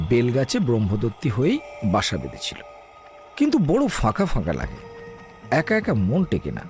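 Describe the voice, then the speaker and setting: A man's voice: mid-range voice, darkish tone. A man narrating a scripted comic audio drama as a dramatic storyteller.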